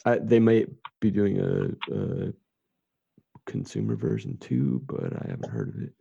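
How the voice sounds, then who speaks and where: A man's voice: low-pitched, huge pitch swings, measured pace, gravelly, dark tone, husky, dry recording. A man losing his voice, co-hosting a casual chat and laughing often as he jokes. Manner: laughs often, loose and joking. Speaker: co-host. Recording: dry, dull lo-fi mic.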